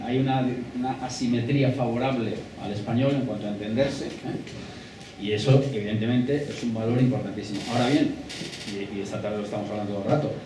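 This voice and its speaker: A man's voice: medium pitch, steady tone, fairly quick. Man, panel speaker, emphatic, pessimistic, roomy echo, background noise. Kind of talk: lecture discussion answer. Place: lecture hall. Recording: echoey hall, distant mic, background noise.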